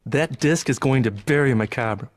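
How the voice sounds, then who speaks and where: A man's voice: medium pitch, normal speed, lightly acted. A man playing an earnest, alert action team member in a video game, serious and direct. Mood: focused. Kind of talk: video game cutscene acting.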